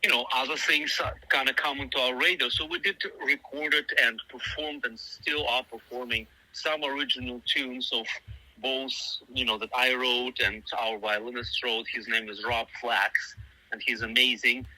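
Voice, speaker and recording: A man's voice: mid-range voice, steady tone, normal speed, sharp tone, no echo, low-quality recording. A middle-aged man whose first language is Russian, giving long, thoughtful interview answers full of fillers and restarts. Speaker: musician guest.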